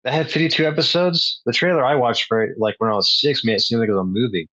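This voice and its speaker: A male guest in a casual group chat who chimes in with quick, wry remarks. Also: mid-range voice, bright, forceful tone, no echo. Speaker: movie club guest. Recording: dull, dry lo-fi mic.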